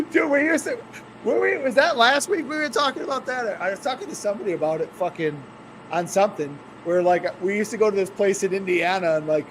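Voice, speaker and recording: A man speaks in a fairly high voice, swearing and laughing as he tells road stories. Faint background noise sits under the recording. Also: a little fast, rough recording. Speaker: podcast co-host and wrestler. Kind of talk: casual profane chat.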